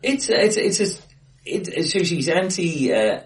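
A man co-hosting a casual film discussion podcast, thinking aloud with many restarts and fillers, recorded face to face in a bright, live room. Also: high-pitched, sing-song, slow pace, far from the mic. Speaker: podcast co-host.

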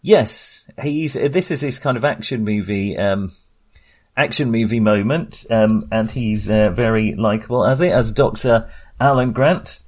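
A middle-aged man with a fairly low voice chats casually as a film podcast co-host. He speaks haltingly, circling back and restarting as he goes. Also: darkish tone. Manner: hesitant, halting delivery with false starts; chuckles often while talking.

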